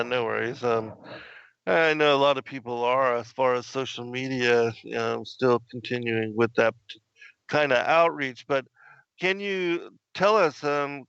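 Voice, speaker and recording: A man hosting a casual interview, asking long, relaxed questions full of fillers. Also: mid-range voice, vocal fry, clean tone, dry recording, highs cut off. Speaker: host.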